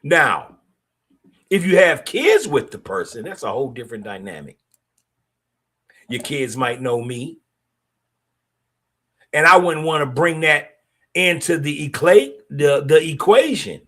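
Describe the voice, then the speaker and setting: Man, middle-aged, podcast host, blunt and streetwise, lively pitch. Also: a little slow, long pauses. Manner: streetwise, blunt and opinionated with slang. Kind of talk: casual monologue podcast.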